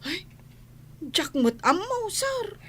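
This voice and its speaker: A woman playing a respectful, excitable household maid in a radio drama, with an Ilocano accent. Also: very high-pitched, slow, exaggerated voice. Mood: anxious, deferential.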